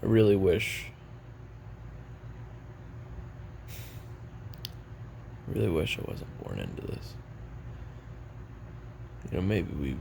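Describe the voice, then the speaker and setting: A man performs a brooding hitman confessing on tape in an audio drama. His voice is extremely low and heavily fried, halting and weary with regret, yet it moves up and down a good deal as he recounts his past. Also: background noise, exaggerated voice. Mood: regretful, resigned. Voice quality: gravelly, creaky. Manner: halting, brooding, casually profane. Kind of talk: audio roleplay monologue, taped confession.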